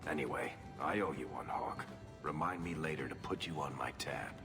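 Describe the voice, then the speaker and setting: Deep-voiced wry dwarf, reverberant. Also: male voice, moderate pace. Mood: wry, touched. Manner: wry, joking. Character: wry, affable male dwarf rogue and storyteller. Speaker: video game voice actor. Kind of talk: bantering game dialogue. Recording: long hall reverb, music behind.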